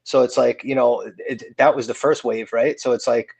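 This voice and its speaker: A man's voice: medium pitch, fairly even intonation, normal speed, creaky, a little mellow, husky, no echo. A man in his forties, a hardcore band singer, talking casually as a podcast guest, rambling with many fillers and restarts. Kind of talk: casual interview chat.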